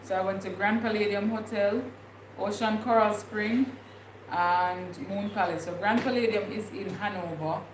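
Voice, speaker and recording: A young woman with a Jamaican accent talks casually to her viewers, wandering from thought to thought with plenty of ums. Her voice sits at an ordinary middle pitch. The room is echoey, with a steady noise under her. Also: moderate pace. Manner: rambling, thinking aloud with many fillers. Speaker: vlogger. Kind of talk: casual livestream chat.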